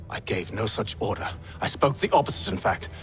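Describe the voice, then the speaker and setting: Lordly British Templar master, expressive. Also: male voice, lowish voice, faint music behind. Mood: defensive. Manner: formal, measured, clipped diction. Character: aristocratic middle-aged Templar grand master, cool, cunning father. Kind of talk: video game cutscene acting.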